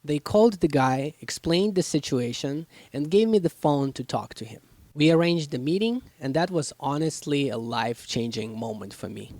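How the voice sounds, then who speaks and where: A man's voice: a little high, lively intonation, moderate pace, a little mellow, dry recording. A young man from Ukraine, speaking with a Ukrainian accent, earnestly narrating his own life story as a channel host. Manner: earnest, reflective, grateful.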